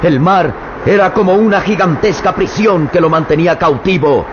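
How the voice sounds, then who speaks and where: A man with a Mexican accent narrating an old radio drama in a dramatic, measured storytelling manner. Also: high voice. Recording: muffled old radio recording with background noise.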